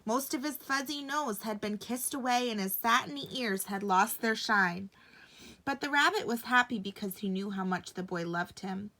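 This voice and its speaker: A woman reads a children's bedtime story expressively. Her voice is bright and somewhat high, delivered as narration for young listeners. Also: normal speed, poor audio.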